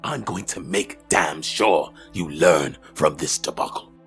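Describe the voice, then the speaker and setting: A man playing a menacing half-metal villain in an audio drama, with a gravelly voice. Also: low voice, a little slow, a bit bright, soft background music, exaggerated voice.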